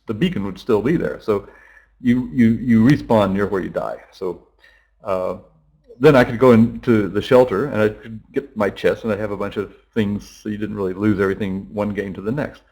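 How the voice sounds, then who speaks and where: A man's voice: lowish voice, normal speed, a little mellow, husky, poor audio. A middle-aged man, a teacher being interviewed, explaining in a loose conversational way with frequent fillers and restarts. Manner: rambling, thinking aloud with many restarts and fillers.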